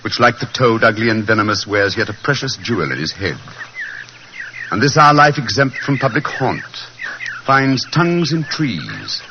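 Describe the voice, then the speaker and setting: A refined British gentleman sleuth speaks with theatrical precision and a very expressive, swooping delivery. His diction is eloquent, in the manner of 1940s radio drama. The old recording is dull, with clear background noise. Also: on the low side. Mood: declaiming.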